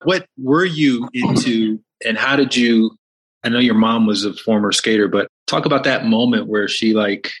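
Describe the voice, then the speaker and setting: A man's voice: mid-range voice, moderate pace, breathy edge, rough recording. Upbeat podcast host man. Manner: enthusiastic, upbeat. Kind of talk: podcast introduction and interview.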